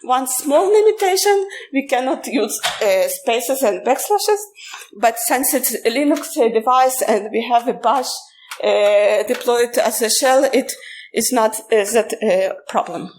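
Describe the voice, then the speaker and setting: A woman speaking as a conference presenter, explaining technical material informally, with halting phrasing and frequent filler sounds. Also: on the high side, expressive, a little mellow, slight background noise.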